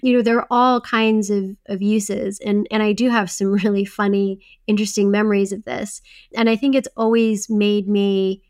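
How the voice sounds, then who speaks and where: Lady podcast cohost, medium pitch, casual thoughtful chat. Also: fairly even intonation, moderate pace, darkish tone. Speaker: podcast co-host. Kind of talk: casual reflective conversation.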